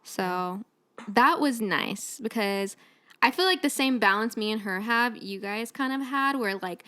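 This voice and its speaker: A woman's voice: on the high side, lively intonation, measured pace, bright, forceful tone. A woman in her late twenties hosting a casual podcast chat in an informal, giggly way. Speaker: podcast host.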